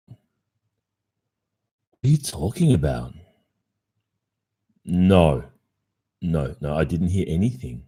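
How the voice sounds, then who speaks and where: A man's voice: very deep voice, mellow, breathy edge, heavily acted. A man with an Australian accent acting a cheating, casually evasive young boyfriend in an ASMR roleplay, speaking conversationally with hesitations. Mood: playful, dismissive. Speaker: roleplay performer.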